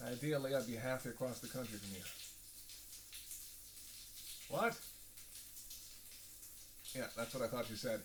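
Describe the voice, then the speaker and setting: A man's voice: medium pitch, noisy background, natural voice. A man playing a sardonic, playful vampire who banters sarcastically with his hunter in an audio roleplay. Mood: relaxed, wry. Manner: sarcastic, playful, drawling asides with sighs and scoffs. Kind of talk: audio roleplay acting, one-sided dialogue.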